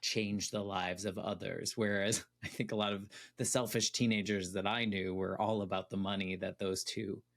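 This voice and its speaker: A male podcast host with a fairly low voice. He asks his questions in a relaxed, conversational way and encourages his guest to go deeper. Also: moderate pace, gravelly, hardly any pauses. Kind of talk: podcast interview.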